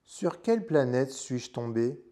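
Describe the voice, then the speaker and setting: A man voicing a small, lonely boy prince in a storybook, with gentle, pensive, questioning delivery. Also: mid-range voice, expressive, measured pace, natural voice. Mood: curious, lonely.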